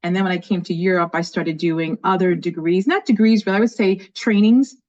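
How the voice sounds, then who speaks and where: A woman's voice: on the low side, brisk, a little mellow. Enthusiastic woman in her fifties, New York accent. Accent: light New York. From New York, USA. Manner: enthusiastic, chatty storyteller. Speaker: guest, education leader. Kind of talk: casual interview. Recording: dull lo-fi call audio.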